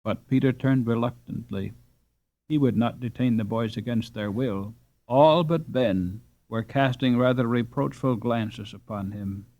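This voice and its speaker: A man reading a classic children's novel aloud with expressive, dramatic narration. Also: mid-range voice, measured pace, dull audio. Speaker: audiobook narrator. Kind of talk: scripted narration.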